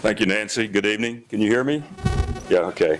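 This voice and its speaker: Loud low-voiced man lecturing haltingly. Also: very noisy. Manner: hesitant, many fillers and restarts. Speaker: engineering dean giving a lecture. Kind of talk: public lecture. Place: lecture hall.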